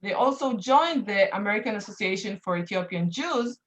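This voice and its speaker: A woman's voice: mid-range voice, animated, normal speed, dull audio. Earnest woman in her thirties. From Israel. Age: 30s. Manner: earnest, many fillers and restarts. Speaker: activist and association chairperson. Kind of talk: personal account in an interview.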